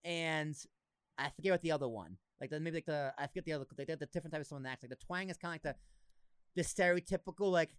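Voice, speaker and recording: A man's voice: on the high side, rapid-fire, clean tone, no echo, clean studio sound. A young man with a slight Boston accent, hosting a podcast in casual, blunt, joking chat. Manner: blunt, jokey, swears, laughs often. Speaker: podcast host.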